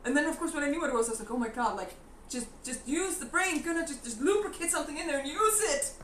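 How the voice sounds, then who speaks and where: A woman's voice: sits high, expressive, fast talker, a bit bright, far from the mic. A woman chatting casually as co-host, telling stories animatedly, acting out her own reactions and laughing often. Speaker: co-host of a live stream. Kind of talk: casual chat. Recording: echoey room, somewhat off-mic, faint noise.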